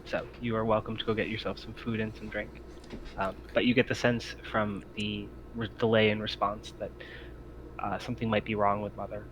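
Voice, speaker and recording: A man's voice: fairly low pitch, level tone, moderate pace, background noise. A man acting as game master, improvising tabletop game narration in a hesitant, explanatory way.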